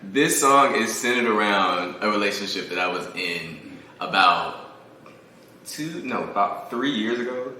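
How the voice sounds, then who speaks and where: A man's voice: on the low side, animated, a little slow, sharp tone. A man chatting casually as an interview guest, candid and slangy, laughing easily. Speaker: singer-songwriter, interview guest. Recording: echoey room, faint background noise.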